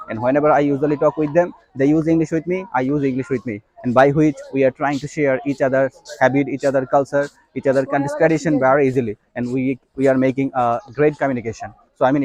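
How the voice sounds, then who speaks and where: A man's voice: mid-range voice, a little mellow, dry recording, rough recording. A young man with a Bangladeshi accent and Bengali as his first language, giving an instructional talk to learners, repeating and stressing his points. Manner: repetitive, emphatic explaining. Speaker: English teacher.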